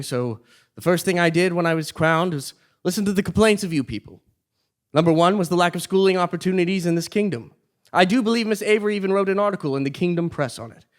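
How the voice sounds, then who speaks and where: An actor playing a well-meaning, exasperated new king in a comic stage play, earnest and theatrical. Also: high voice. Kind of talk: live absurdist comedy stage acting.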